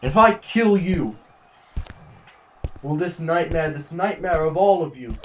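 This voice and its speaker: A man's voice: on the high side, lively intonation, takes it slowly, a little hiss or hum. A man playing a haughty, paranoid revolutionary politician in a sci-fi audio drama, speaking in formal, pompous oratory. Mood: ranting, unhinged. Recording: roomy, echoing sound.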